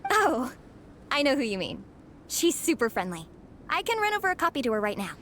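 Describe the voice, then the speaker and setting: A woman's voice: high voice, wildly swooping pitch, a little fast, fairly bright tone, slight background noise, full character voice. An actress voicing a fervent young girl in a video game, a devoted fan who declaims grandly and dramatically. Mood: excited.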